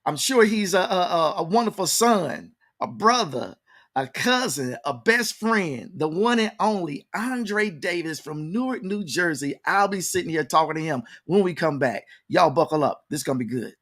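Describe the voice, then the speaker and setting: A man's voice: on the high side, expressive, moderate pace, fairly bright tone. A man hosting a casual interview show in a folksy, hyped-up manner, often repeating words for emphasis.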